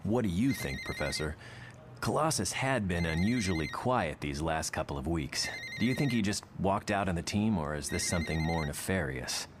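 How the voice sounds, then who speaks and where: A man's voice: low voice, expressive, normal speed. A man playing a serious, concerned superhero in a motion comic, earnest and formal, over a noisy background.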